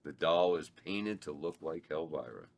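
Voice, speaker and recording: A man reads out the stage directions at a table read. His voice is very deep, and he keeps a plain, steady delivery. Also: moderate pace. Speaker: narrator reading stage directions. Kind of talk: reading a script aloud.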